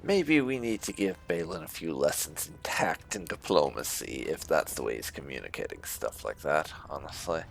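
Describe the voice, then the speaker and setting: A man giving casual, musing roleplay commentary over a video game, with a gravelly voice. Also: a bass voice, animated, normal speed, hardly any pauses, faint music behind. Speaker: gaming video host.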